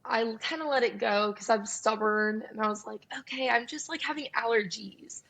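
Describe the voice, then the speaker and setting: A young woman with a raspy voice, a singer-songwriter guest telling her story in a casual, chatty interview with many fillers. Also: a little high, normal speed, fairly bright tone, low-quality recording. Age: young adult.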